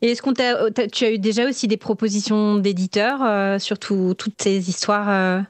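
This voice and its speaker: A woman's voice: mid-range voice, fairly even intonation, moderate pace, no room sound. Responsive Frenchwoman interviewing, dry close sound. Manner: responsive, encouraging, interjecting short agreements. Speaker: podcast host, interviewer. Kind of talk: casual interview chat.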